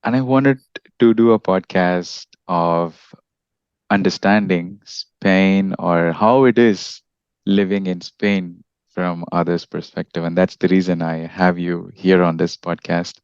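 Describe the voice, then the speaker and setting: A man's voice: low-pitched, steady tone, slow, a little mellow, clean tone, dry recording, dull audio. A man from India hosting a casual podcast interview, friendly, restarting his sentences often. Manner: friendly, with frequent restarts and fillers. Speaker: podcast host.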